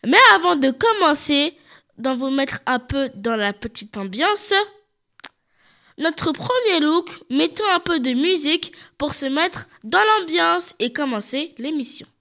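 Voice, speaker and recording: Woman host, high voice w/ a gravelly edge, upbeat, announcing the next bit. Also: animated, measured pace, pushed and bright. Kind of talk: show announcement.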